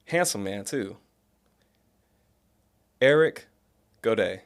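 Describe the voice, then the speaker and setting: A man's voice: fairly low pitch, animated, takes it slowly, clear, resonant tone. A man hosting an interview podcast, friendly and encouraging, who gives short affirmations while his guest speaks. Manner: friendly, encouraging, small backchannels. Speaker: host. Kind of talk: casual interview conversation.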